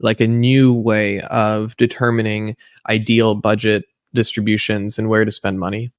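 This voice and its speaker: Podcast host guy, smooth clean voice, dry sound, keeps pushing his guest for specifics. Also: fairly low pitch, flat delivery, unhurried, no room sound. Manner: probing, presses for clearer answers. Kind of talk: podcast interview.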